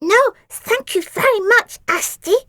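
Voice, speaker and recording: A woman reading aloud, voicing a gruff fanged girl who growls her words high. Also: animated, unhurried, sharp tone, no room sound, exaggerated voice. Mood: growling.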